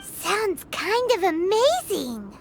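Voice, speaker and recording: A woman voicing a tiny, cheerful, boastful girlish fairy guide in a video game, squeaky and excitable. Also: slow pace, a little hiss or hum, full character voice. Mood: amazed. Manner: squeaky, excitable, refers to self in third person. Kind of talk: scripted video game voice acting. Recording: loud music behind the voice.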